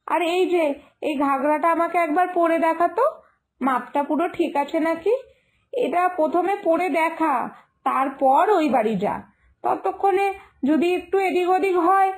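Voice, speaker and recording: A woman voicing a loving but firm village mother in an animated moral story, scolding and coaxing in a motherly way. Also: very high voice, normal speed.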